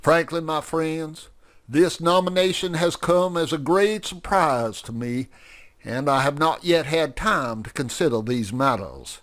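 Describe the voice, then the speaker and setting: A man's voice: a little high, a little slow, fairly bright tone, pitched above the performer's own voice. A man voicing a stiff, self-important male woodpecker politician who speaks formally and pompously. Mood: orating.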